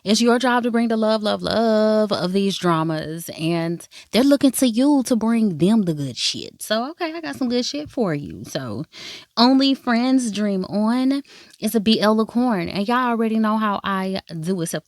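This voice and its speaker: A woman's voice: mid-range voice, expressive, moderate pace, dry recording, clean studio sound. A woman reviewing dramas in a casual vlog, talking straight to her viewers in an emphatic, playful way and swearing freely. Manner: talks straight to viewers, calling them baby and y'all. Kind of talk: casual first-impressions review.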